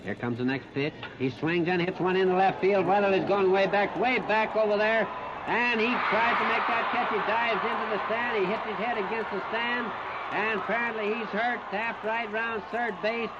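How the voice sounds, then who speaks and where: A man's voice: sits high, steady tone, normal speed, a loud crowd. An American man calls live baseball play-by-play on the radio in short, plain-spoken, folksy phrases. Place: outdoor ballpark. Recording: noisy, muffled 1930s radio broadcast recording.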